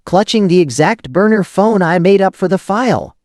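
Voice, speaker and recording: A man playing a cynical, rattled forger who narrates a noir thriller audio drama in dramatic, clipped phrases with weighty pauses. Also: sits high, animated, darkish tone, no room sound, clean studio sound. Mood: grim, cynical. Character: hardboiled, rattled forger of false evidence, cynical first-person narrator.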